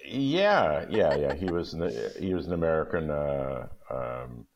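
A man's voice: extremely low pitch, big pitch swings, a little slow. A man speaking as a guest reader, in casual chat and storybook reading, on a dull recording. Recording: dull, muffled lo-fi audio.

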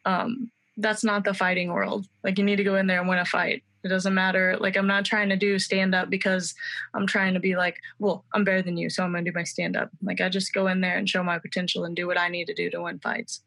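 A woman's voice: lowish voice, little pitch movement, fairly quick, creaky, fairly bright tone. A woman in her twenties answering interview questions in a chatty, confident way, with many fillers. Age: 20s. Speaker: mixed martial arts fighter and student.

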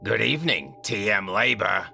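A man voicing a sarcastic, chain-smoking female office assistant with a raspy voice and dry wit. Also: on the low side, expressive, moderate pace, pushed and bright, faint music behind, voice pushed up, full character voice. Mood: businesslike.

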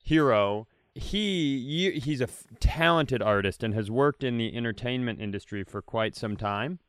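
A man co-hosting a comedy podcast, rambling deadpan through offbeat questions in casual chat. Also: mid-range voice, a little slow, clear, resonant tone, dry recording, clean studio sound. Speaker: podcast co-host.

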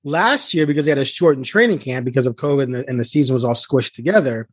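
A man's voice: mid-range voice, quick pace, slightly rough, dry recording, clean studio sound. A man hosting a casual sports podcast, talking conversationally as a reporter with a joking streak.

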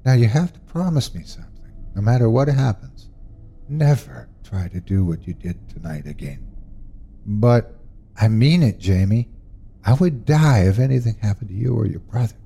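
A man's voice: lowish voice, lively intonation, normal speed, gravelly, dark tone, breathy edge, voice pushed up, exaggerated voice. A man voicing a fierce, protective mother who curses at her husband and speaks tenderly to her sons. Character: fierce protective mother, middle-aged woman.

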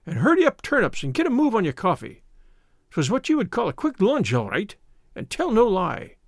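A man voicing a gruff, argumentative but soft-hearted middle-aged Irish husband with a rough, sardonic manner in a storybook reading. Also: sits high, wildly swooping pitch, normal speed, no room sound, studio quality, voice pushed up, heavily acted. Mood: conciliatory.

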